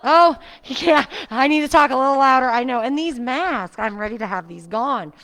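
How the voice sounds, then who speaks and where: A woman's voice: high-pitched, expressive, moderate pace, fairly bright tone. A middle-aged woman, a fitness instructor, chatting casually before class, her voice muffled by a face mask. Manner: chatty, friendly, laughs often. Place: seniors' center exercise room.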